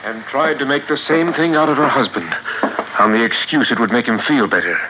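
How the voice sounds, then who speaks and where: Casting: man to play stern physician, mid pitch, formal and emphatic, vintage radio crime, dull sound. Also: a little fast, natural voice. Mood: grave, firm. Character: stern, precise male family doctor. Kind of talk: 1950s radio crime acting. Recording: muffled, noisy old radio recording.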